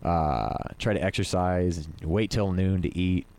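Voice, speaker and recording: A man in his thirties in a casual chat, musing thoughtfully and swearing casually, often pausing on fillers. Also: low-pitched, darkish tone. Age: 30s. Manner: reflective, profane, halting with fillers.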